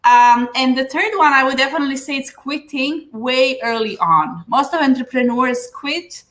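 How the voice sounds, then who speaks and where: A woman's voice: high-pitched, moderate pace, a bit bright. A woman from Serbia with a Serbian accent, a business coach giving earnest advice in an interview, with frequent fillers. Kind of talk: interview answers. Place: Dubai.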